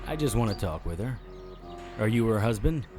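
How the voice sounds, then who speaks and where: An actor playing a wry, calm, hard-boiled private investigator in a noir audio drama, speaking in a measured, deadpan way. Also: male voice, on the low side, natural voice. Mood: polite, probing. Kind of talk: first-person noir audio drama narration. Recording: music behind the voice.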